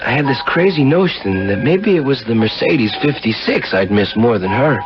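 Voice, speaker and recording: A man's voice: medium pitch, lively intonation, normal speed, lightly acted. An actor playing a cynical, slangy womanizing car dealer in a 1970s radio drama. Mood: wry, brooding. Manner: hip slangy, wry. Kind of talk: 1970s radio drama acting with first-person narration. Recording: muffled old radio broadcast, music behind.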